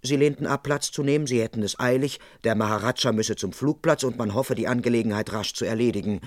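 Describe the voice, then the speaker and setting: A man's voice: medium pitch, quick pace, no room sound, studio quality, close to an everyday voice. An actor playing a respectful, plain-spoken constable who tells his story in a mid-century German radio crime comedy. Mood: composed narrating. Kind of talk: first-person radio-play narration.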